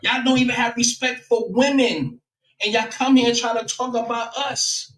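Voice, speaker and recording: A man's voice: extremely high pitch, steady tone, normal speed, a bit bright, reverberant, low-quality recording. A man hosting a live stream who argues heatedly with a guest, confronting him, repeating his points and rising in bursts. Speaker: livestream host.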